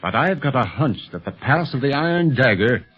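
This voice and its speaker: An actor playing a resolute secret police leader, firm and businesslike, with a husky voice in a 1930s radio serial. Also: male voice, mid-range voice, very animated, a little fast. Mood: determined. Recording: muffled, lo-fi 1930s radio recording.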